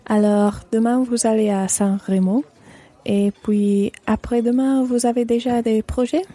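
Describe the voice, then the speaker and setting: A woman's voice: on the high side, steady tone, measured pace, warm tone. A woman playing a kind, chatty French wife and mother in an educational radio play. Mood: friendly, curious.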